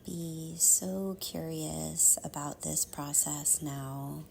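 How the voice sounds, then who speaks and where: A woman leading a guided meditation in a soft, half-whispered, soothing voice, with measured phrases and long pauses. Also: sits low, fairly even intonation, gravelly, a little hiss or hum.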